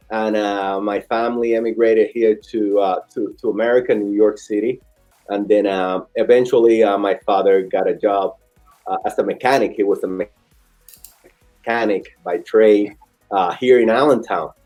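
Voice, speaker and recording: A man around forty from the Dominican Republic with a Dominican Spanish accent, telling his story as an interview guest in a halting, earnest, repetitive way. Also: on the low side, dark tone. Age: late 30s to 40. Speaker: interview guest, restaurant owner. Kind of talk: conversational personal storytelling.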